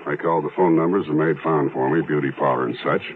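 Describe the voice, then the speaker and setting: An actor playing a methodical, world-weary police detective in a 1970s radio drama, with an American accent and a dry, clipped manner. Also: male voice, very deep voice, steady tone, quick pace, a little hiss or hum. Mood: matter-of-fact. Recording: muffled vintage radio recording with music.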